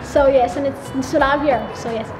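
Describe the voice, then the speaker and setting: A high-pitched teenage girl from Malta talks casually about joining a student program, stumbling over words and restarting often. Loud music plays beneath her voice. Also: steady tone, normal speed, roomy sound. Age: teens. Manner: hesitant, with restarts and "like". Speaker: student participant. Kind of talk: casual interview answer.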